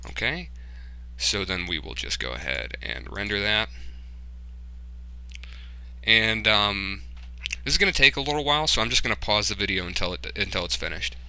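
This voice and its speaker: Casual male tutorial host, low-pitched with a very crisp, forward edge, informal and muttering through each step. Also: expressive, normal speed, pauses often, pushed and bright. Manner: informal, muttering to himself while working. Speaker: tutorial host, hobbyist game developer. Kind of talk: casual screen-recorded software tutorial, thinking aloud.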